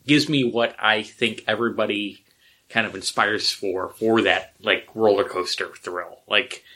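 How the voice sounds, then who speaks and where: A man co-hosting a podcast, chatting casually with dry, quick remarks. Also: on the low side, fairly even intonation, pushed and bright, rough recording. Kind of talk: casual chat.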